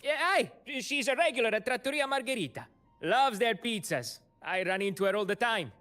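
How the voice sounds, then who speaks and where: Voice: mid-range voice, animated, fairly quick, bright, forceful tone. A voice actor playing a laid-back, chatty sailor in a video game, with game music behind. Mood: casual.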